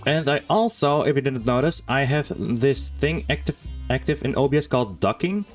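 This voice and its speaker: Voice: medium pitch, animated, normal speed, faint music behind. A game streamer giving casual let's play commentary in a hesitant, rambling way.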